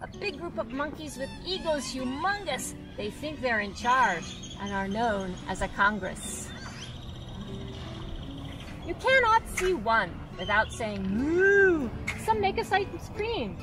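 An older woman reads a rhyming children's book aloud outdoors, her fairly high voice swooping up and down in a bouncy, sing-song way. She sounds like a friendly guide at storytime, picked up by a camera a few steps away. Loud music plays underneath her. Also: big pitch swings, slow pace. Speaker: zoo guide and author reading aloud. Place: outdoors by a pond in a zoo.

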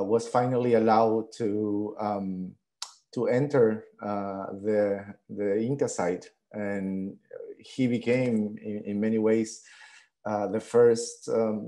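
A man's voice: medium pitch, mellow. A man from Peru in his thirties giving an online lecture over a headset on a video call, hesitant with many fillers and restarts. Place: living room at home.

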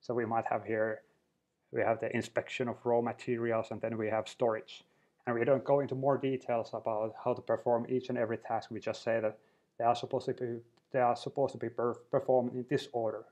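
A male trainer talks through a slide presentation at a middle pitch. His delivery is unscripted and loose, full of restarts and fillers as he works through each point. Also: flat delivery, brisk, darkish tone, dull audio.

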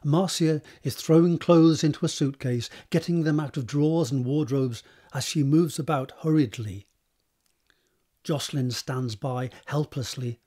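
Husky man narrating a screenplay. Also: on the high side, unhurried, dark tone. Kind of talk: scripted narration of stage directions.

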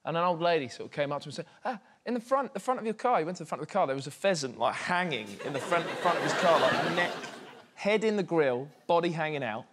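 A man's voice: on the high side, expressive, quick pace, a bit bright, some chatter behind, slight background noise. A young male musician with an English accent, telling a laughing anecdote as a guest on a studio stage. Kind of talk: storytelling chat.

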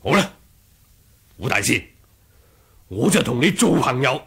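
An actor playing a proud, wary martial master in a 1980s radio drama, husky, with theatrical grunts and sighs. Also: male voice, mid-range voice, big pitch swings, unhurried, fairly bright tone, heavily acted. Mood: wary, relenting.

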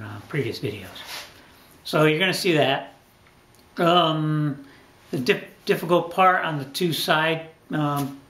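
A man's voice: mid-range voice, a bit bright. Middle-aged man explaining, roomy echo. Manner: unhurried, frequent ums and uhs, thinking aloud. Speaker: boat restoration channel host. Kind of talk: casual talking-head explanation. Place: small indoor workshop corner.

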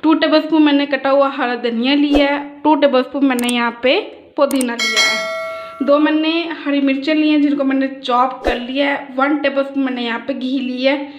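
A woman with a Pakistani Urdu accent, hosting a cooking channel and calmly walking through a recipe step by step, listing each measure. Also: high voice, moderate pace, a little hiss or hum. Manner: calm instructional, listing measures. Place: home kitchen. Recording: echoey kitchen, dull lo-fi phone audio.

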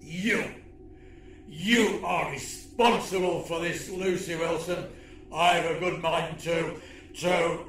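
A pompous alien warlord acted out by a man, imperious and quick to rage, snarls out his threats in a storybook. His voice sits fairly high and his words come slowly and deliberately, over faint background noise. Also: reverberant, far from the mic. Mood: furious.

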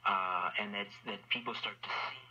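A man's voice: lowish voice, fairly even intonation, moderate pace, bright, forceful tone. A man in conversational radio talk, hesitant and thinking aloud, heard through a radio receiver. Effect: radio reception. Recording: dull, noisy shortwave radio speaker.

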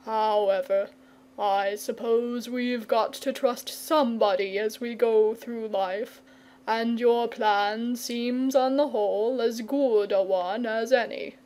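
A languid storybook dragon, performed by a woman, fussy and coy, with airs of refinement. He draws his words out very slowly, in no hurry to be persuaded. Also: fairly high pitch, steady tone, dark tone. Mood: anxious, testy. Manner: drawling, leisurely, testy when pressed. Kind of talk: storybook character reading.

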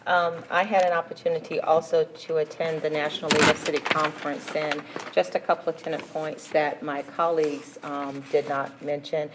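A woman on a city council gives a composed, informative report. Her voice is very low and level, with faint room noise behind her. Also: level tone, moderate pace, few pauses. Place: council chamber.

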